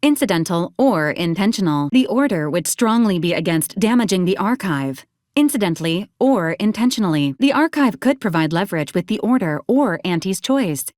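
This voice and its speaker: A woman playing a polite, literal-minded robot companion in a video game, speaking in a formal, measured, matter-of-fact deadpan. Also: medium pitch, no room sound, studio quality, natural voice. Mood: flat, advisory. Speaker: robot assistant advising a commander. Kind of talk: scripted video game character lines.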